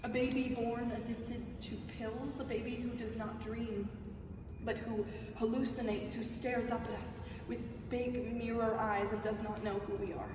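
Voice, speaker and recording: A woman's voice: on the high side, level tone, moderate pace, close to an everyday voice. A woman playing a fragile, accusing, pill-addicted young wife in a live dramatic stage reading. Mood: bitter, wounded. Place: large reverberant museum hall. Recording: echoey hall from far off, noisy.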